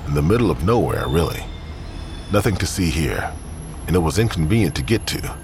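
A man with an extremely deep voice narrates a crime story. His delivery is scripted, grim and deliberate, set over clearly audible ambient noise. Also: sing-song.

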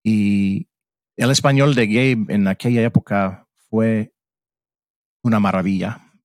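A man's voice: fairly low pitch, fairly even intonation, slow, dry recording, clean studio sound. A middle-aged man from Mississippi who speaks with an American English accent. He talks as a podcast guest, reflective and searching for words in his second language. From Mississippi, USA. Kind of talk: casual interview chat.

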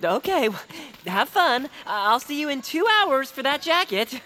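A woman's voice: sits high, very animated, moderate pace, sharp tone, heavily acted. A woman playing a vain but friendly young male bird creature, easily flustered, speaking in a hesitant, affectedly casual way in a cartoonish audio drama. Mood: awkward. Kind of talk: cartoonish audio fiction acting.

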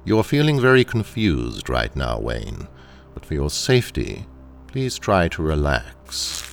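Sinister lab engineer: extremely low voice, clinical and curt, with lively swings in emphasis. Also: male voice, unhurried, vocal fry, faint music behind, heavily acted. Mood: clinical, controlled. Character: cold, menacing male corporate training engineer. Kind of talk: audio drama acting.

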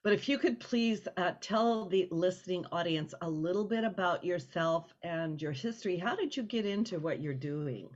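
A woman's voice: lowish voice, expressive, moderate pace, hardly any pauses, a little mellow. A woman hosting a casual interview, effusive and rambling as she talks, on slightly lo-fi audio. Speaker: interview host.

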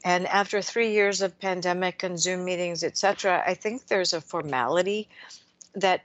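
A woman hosting a radio interview, speaking in a measured, reflective way and asking long framing questions. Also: on the low side, no echo. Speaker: radio show host.

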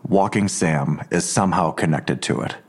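An actor playing a menacing, suspicious police detective in an audio drama, pressing with pointed, accusatory questions. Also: male voice, low-pitched, normal speed, husky.

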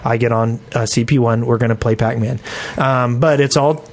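A man hosting a gaming podcast, telling stories casually in an animated, enthusiastic way with slangy phrasing. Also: mid-range voice, moderate pace. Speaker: podcast host.

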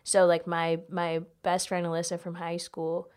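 A woman's voice: lowish voice, completely flat delivery, normal speed, darkish tone, clean tone. A woman with an American accent talking casually as an interview guest, in an informal, rambling way peppered with "like". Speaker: guest, comedian.

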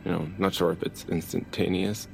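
An actor playing a goofy, excitable male amateur bounty hunter in an audio drama, rambling and emotional. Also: low voice, brisk, vocal fry, music in the background, poor audio. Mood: solemn.

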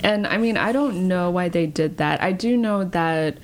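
A woman's voice: on the low side, normal speed, a little hiss or hum. A woman in her thirties from Texas, an artist talking casually in an interview with many fillers. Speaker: artist guest.

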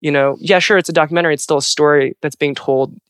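A man's voice: on the high side, steady tone, fast talker, fairly bright tone, dry recording, clean studio sound. A man who works as a Foley artist, talking thoughtfully in an interview and searching for his words. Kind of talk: interview talk.

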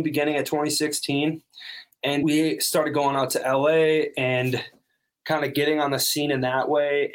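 An outgoing young man from North Dakota talks about his band in a relaxed interview. His voice sits slightly high, and he tells the story with easy enthusiasm. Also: low-quality recording. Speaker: band member guest. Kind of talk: casual interview answers.

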